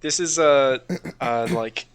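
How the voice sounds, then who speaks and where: A man's voice: mid-range voice, slow pace, smooth. A man co-hosting a podcast in a casual, rambling, sweary chat, reacting to videos. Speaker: podcast host.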